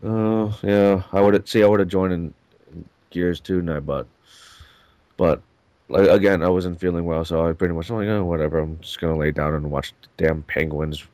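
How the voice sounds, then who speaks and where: A man with a very deep voice co-hosts a gaming podcast. He is sick with chest congestion and rambles in an easygoing way. The sound is dry and close. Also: steady tone, moderate pace, a little mellow, dry recording. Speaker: podcast co-host. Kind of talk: casual gaming podcast chat.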